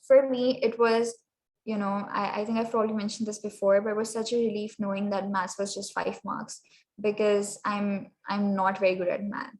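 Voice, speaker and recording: Young woman, Pakistani English accent, Sindhi first language, casual workshop talk, lots of fillers, mid pitch. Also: level tone, normal speed. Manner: chatty, many fillers, slips into Urdu phrases. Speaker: workshop presenter. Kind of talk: casual presentation.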